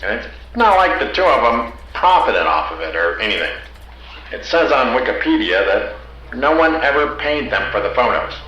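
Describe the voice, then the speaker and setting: A man's voice: medium pitch, big pitch swings, normal speed, pushed and bright, heavy reverb, highs cut off, slight background noise. A man playing an earnest, matter-of-fact believer arguing his case in an audio drama. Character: earnest, reasoning male UFO believer.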